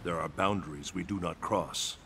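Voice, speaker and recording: A stern, noble god of thunder in a video game. He speaks low, with solemn formality and command, over clearly audible noise. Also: normal speed. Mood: grave, measured. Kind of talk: video game cutscene acting.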